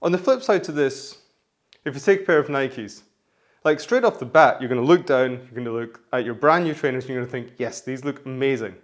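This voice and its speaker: A man hosting a menswear vlog, talking casually and rambling with many restarts, his voice hoarse from the flu. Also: mid-range voice, animated, a little fast. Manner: rambling, chatty, frequent restarts. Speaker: menswear vlogger.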